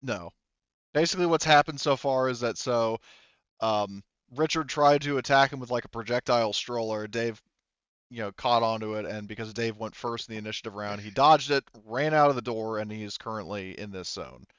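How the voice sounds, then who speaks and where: A man's voice: mid-range voice, fairly quick, a bit bright, clear, resonant tone, no echo, muffled recording. A man running a tabletop roleplaying game, narrating informally with some swearing and thinking aloud as he goes. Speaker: game master.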